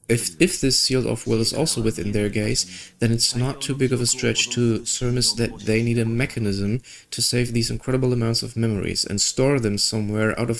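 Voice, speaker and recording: A man who streams games, reading story dialogue aloud and commenting casually with offhand, skeptical asides. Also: medium pitch. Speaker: gaming streamer. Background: game music.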